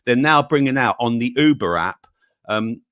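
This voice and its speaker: A man's voice: mid-range voice, lively intonation, normal speed, a bit bright, no room sound. Rambling man, dry sound. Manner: rambling, many fillers and restarts; jokey. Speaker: channel host, guest. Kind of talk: casual interview chat.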